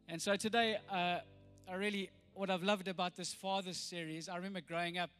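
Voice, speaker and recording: Croaky-voiced South African pastor preaching. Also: male voice, high-pitched, fairly even intonation, fairly quick, a bit bright, faint music behind. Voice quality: slightly croaky. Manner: earnest, builds to rousing emphasis. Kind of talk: sermon with prayer.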